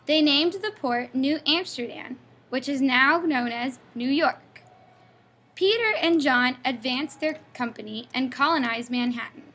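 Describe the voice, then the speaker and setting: A woman's voice: sits high, bright, forceful tone. A young woman from Texas reading her school family-history presentation in a scripted recitation. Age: young adult. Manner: scripted recitation with a playful aside. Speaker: student presenter.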